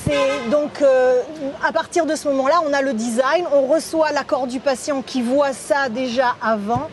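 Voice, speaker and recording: Woman dentist guest, high-pitched and halting, faint outdoor traffic behind. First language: French. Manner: hesitant, searching for French words. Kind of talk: interview on a talk show. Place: outdoors at a café terrace.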